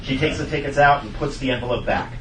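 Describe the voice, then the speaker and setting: A man's voice: mid-range voice, fairly even intonation, fast, a bit bright. A man reading stage directions as scripted narration at a comedy table read. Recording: distant, echoey room with noise.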